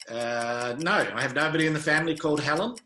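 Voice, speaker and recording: A New Zealand man in his fifties with a slightly raised pitch and a bright, forceful tone. He answers interview questions briefly and dryly, with good humour and a hesitant filler now and then. Faint noise runs under the recording. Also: fast talker. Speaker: interview guest, travel sales manager.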